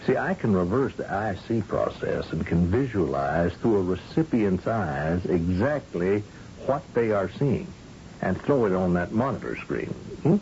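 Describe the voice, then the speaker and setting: An actor playing a shrewd, folksy, commanding governor of a space colony in a 1980 radio drama, with hesitant asides and brisk orders. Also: male voice, sits low, very animated, darkish tone, slight background noise, heavily acted. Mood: shrewd, confiding. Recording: dull lo-fi old radio broadcast recording.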